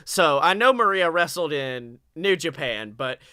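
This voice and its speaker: A man's voice: fairly high pitch, lively intonation, unhurried, pushed and bright, clean tone, dry recording, clean studio sound. A young man hosting a wrestling podcast in casual chat. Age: young adult. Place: home room, indoors.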